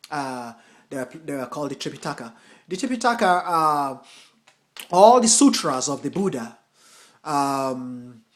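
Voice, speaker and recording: A man reading his own scripture translations aloud with forceful emphasis, then talking casually to his viewers as a Buddhist teacher. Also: a little high. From United States. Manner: declaims verses with forceful emphasis, then talks casually, addressing viewers as folks.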